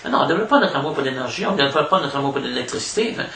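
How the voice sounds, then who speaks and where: A man with a Québécois French accent giving an informal spiritual lecture as a devotional preacher, haltingly, repeating words and adding little question tags. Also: mid-range voice, animated, vocal fry, fairly bright tone. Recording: echoey room, distant mic, faint noise.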